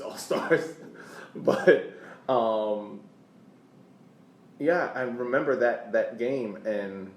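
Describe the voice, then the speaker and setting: An American man co-hosting a podcast, talking casually about sports in a halting way, repeating words as he searches for phrasing. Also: fairly low pitch, darkish tone. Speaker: podcast co-host. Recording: echoey room, distant mic, faint background noise.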